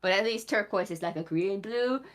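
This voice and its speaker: A woman's voice: mid-range voice, normal speed. A young adult woman co-hosting a casual stream chat, blunt and teasing, swearing freely.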